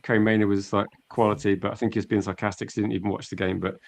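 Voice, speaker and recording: A man's voice: on the low side, flat delivery, very fast, vocal fry. A man chatting casually as the host of a football fan call-in. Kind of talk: casual chat.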